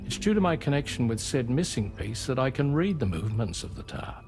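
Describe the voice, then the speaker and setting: Animated, reflective old-sea-tale storyteller. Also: male voice, medium pitch, fairly quick, over music. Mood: wistful, reflective. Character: wistful father, seafaring ex-doctor and tar researcher. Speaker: ship captain and former scientist. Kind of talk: video game cutscene storytelling.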